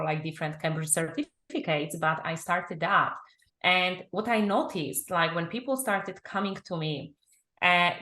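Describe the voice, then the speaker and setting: Bright-voiced Polish woman in an echoey room. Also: on the low side. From Poland. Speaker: guest, confidence and business mentor. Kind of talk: personal storytelling in an interview. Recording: roomy, echoing sound.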